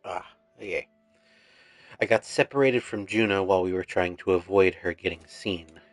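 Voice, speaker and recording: Relaxed man musing over a game. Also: sits low, moderate pace, no echo, soft background music. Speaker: let's play streamer. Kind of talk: casual game commentary and reading dialogue.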